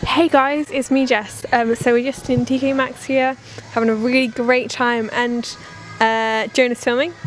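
A woman greeting the camera in a slow, upbeat way inside a large shop. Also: fairly high pitch, flat delivery, soft background music, poor audio.

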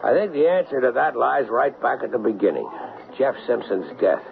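Defiant, urgent cop with lively pitch. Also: male voice, normal speed, vocal fry. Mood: grimly determined. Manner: urgent, insistent. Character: impulsive, defiant male cop on the run. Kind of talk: 1970s radio drama acting. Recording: dull, faintly noisy 1970s radio recording.

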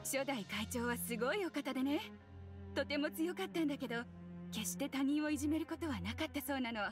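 A friendly female prisoner from an anime game, voiced by an actress. Her voice is high and her explanations are casual and chatty, with music clearly underneath. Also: a little fast, close to an everyday voice. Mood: admiring. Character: friendly female inmate, admiring group member.

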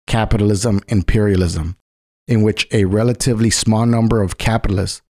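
A man reading scripted political narration in a didactic, measured way. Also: lowish voice, darkish tone, no echo, studio quality.

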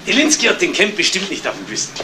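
Male good-natured junior cop, Bavarian accent, brisk, stumbling delivery, noisy old radio drama recording. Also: high-pitched, wildly swooping pitch. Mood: defensive, flustered. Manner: colloquial, stammering, defensive. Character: good-natured, flustered, soft-hearted male junior policeman. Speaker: actor. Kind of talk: 1970s German radio crime drama acting.

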